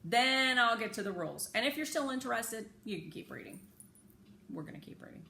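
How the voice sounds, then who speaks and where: A woman reading a children's story aloud in an expressive, careful, teacherly way with chatty asides. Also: a little high, a little fast, vocal fry. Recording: echoey room with faint background noise.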